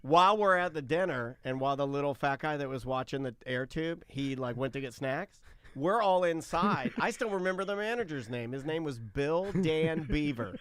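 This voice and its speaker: A man hosting a radio show, telling comic stories in animated casual banter and repeating phrases emphatically for effect. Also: on the high side, normal speed, smooth, no room sound.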